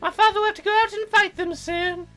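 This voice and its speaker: A woman voicing a proud, earnest young cloud fairy girl in a high, girlish voice for a game. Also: steady tone, quick pace, pushed and bright, soft background music. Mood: earnest, matter-of-fact.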